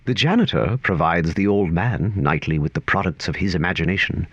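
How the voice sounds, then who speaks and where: An actor as a whimsical storyteller narrating an audio drama in a dramatic, theatrical manner. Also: male voice, deep voice, expressive, fairly quick, slightly rough, highs cut off. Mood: wry, wondering. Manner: dramatic, swooping from hushed to urgent.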